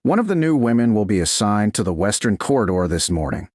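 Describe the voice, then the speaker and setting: A man's voice: fairly low pitch, very animated, a little fast, a little mellow, no echo, clean studio sound. A man voicing a deferential castle steward in an audiobook, formal and dutiful as he explains.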